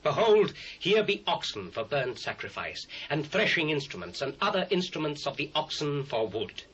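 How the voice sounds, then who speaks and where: Humble subject, fairly high voice. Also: male voice, big pitch swings, moderate pace, fairly bright tone. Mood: humble, eager. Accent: British. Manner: deferential, declamatory. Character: humble, generous subject addressing his king. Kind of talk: dramatised scripture reading. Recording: muffled, slightly noisy old cassette.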